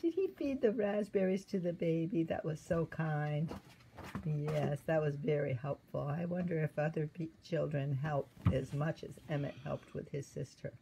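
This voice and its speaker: An older woman with a soft, mellow and slightly low voice reads a children's devotional story. She speaks gently and simply, like a teacher asking little ones questions. The recording is indoors, with a light layer of room noise. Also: normal speed, gravelly, rough recording.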